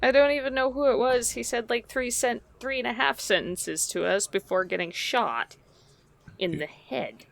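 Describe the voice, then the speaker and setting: A woman's voice: fairly high pitch, moderate pace, vocal fry. A woman improvising in-character dialogue as a tabletop role-player, in a colloquial, rambling way. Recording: music bed behind the voice. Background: background music.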